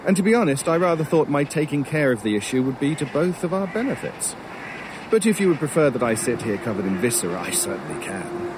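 A gleefully macabre crow, played by a man, speaks in an audio drama with urbane, mocking courtesy. He is wordy and pompous, with a mid-pitched voice. Clear outdoor noise and cawing sit behind him. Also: very animated, fast talker, lightly acted. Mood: fastidious, amused. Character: urbane, sardonic male talking crow, gleefully macabre scavenger. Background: outdoor ambience, crows, vehicles.

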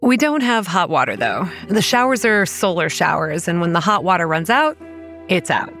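An actress playing a wry, weary young campground manager who narrates a horror audio drama in a measured, dry way. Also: female voice, on the low side, big pitch swings, fairly bright tone. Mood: wry, reflective. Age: young adult. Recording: music under the voice.

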